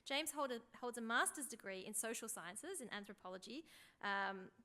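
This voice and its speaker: A woman's voice: fairly high pitch, animated, moderate pace, bright, forceful tone. A woman hosting a conference session, reading out a formal introduction for a speaker.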